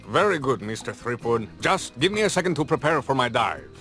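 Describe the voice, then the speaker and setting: An actor playing a vain, haughty champion diver in a video game, pompous, theatrical and condescending. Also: male voice, mid-range voice, big pitch swings, a little fast, a bit bright, faint music behind. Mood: smug, grandiose. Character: vain, haughty champion plank diver, grown man, easily enraged. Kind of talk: cartoonish video game voice acting.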